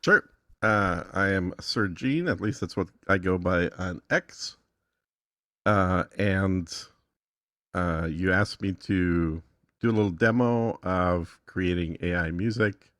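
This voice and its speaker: A man from Texas chatting casually as a guest, explaining things hesitantly and thinking aloud with pauses. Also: low-pitched, a bit bright, no room sound, studio quality. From Texas, United States.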